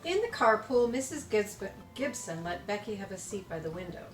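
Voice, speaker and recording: A woman reading a children's storybook aloud expressively, with dramatic emphasis and deliberate pauses on key words. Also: mid-range voice, sing-song. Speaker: storybook reader. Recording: echoey room, distant mic, music behind.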